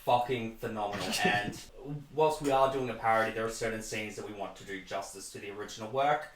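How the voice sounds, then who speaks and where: A man's voice: fairly low pitch, fairly even intonation, fast, background noise. A man hosting a casual, chatty interview. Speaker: interview host. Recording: echoey room, distant from mic.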